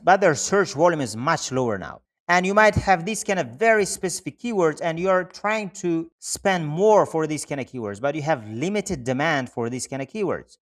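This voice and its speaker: Male tutorial presenter, slightly high voice, explanatory and repetitive, speaking straight to the viewer. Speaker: online marketing tutorial presenter. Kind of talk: instructional screen-share tutorial narration.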